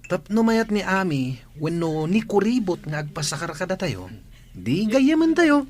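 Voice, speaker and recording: A scheming, carefree teenage boy, played by a man in a radio drama. His pitch moves around a lot as he casually cajoles his friends. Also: big pitch swings, normal speed, a little mellow.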